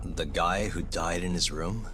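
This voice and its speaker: A low-voiced, cool young detective. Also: male voice, unhurried, a bit bright, soft background music. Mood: wry. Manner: composed, confident, wry. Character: cool, persistent young male private detective. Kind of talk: English video game dub acting.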